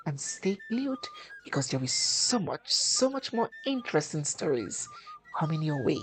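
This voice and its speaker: Slow, dramatic woman storyteller with sweeping pitch. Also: poor audio. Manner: deliberate, dramatic, phrase-by-phrase delivery. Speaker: storyteller and channel host. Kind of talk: scripted dramatic folktale narration.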